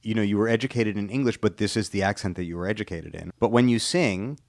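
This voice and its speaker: A man's voice: on the low side, fast, a little mellow, dry recording. A man hosting an interview, asking thoughtful questions in an agreeable way and often saying yeah along the way. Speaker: interview host.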